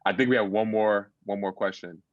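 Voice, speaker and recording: A man's voice: fairly low pitch, fairly even intonation, fairly quick, no echo. A man moderating a talk, briefly thanking the speaker and moving to the next question over a video call. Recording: dull, dry video-call audio.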